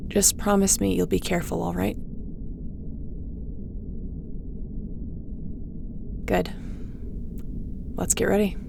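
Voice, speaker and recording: A woman's voice: mid-range voice, flat delivery, moderate pace, vocal fry. A woman playing a tough, defiant starship captain in a sci-fi audio drama, speaking bluntly and with command. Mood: determined. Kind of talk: sci-fi audio roleplay acting. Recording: faint background noise.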